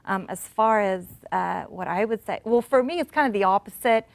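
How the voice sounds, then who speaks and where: A woman's voice: medium pitch, moderate pace, creaky. A woman from Turkey, a panel guest, speaking casually and upbeat. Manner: casual, upbeat, uses fillers like "you know". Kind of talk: discussion panel answer.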